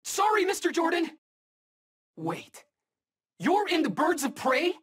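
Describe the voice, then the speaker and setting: Cocky rookie superhero kid for a fighting game, quippy slangy banter, unhurried, pitch jumps all over. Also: male voice, wildly swooping pitch, a bit bright, exaggerated voice. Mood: starstruck. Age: young adult. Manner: casual, wisecracking, boyish slang. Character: cocky, eager young male rookie superhero in alien armor. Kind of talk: video game battle banter.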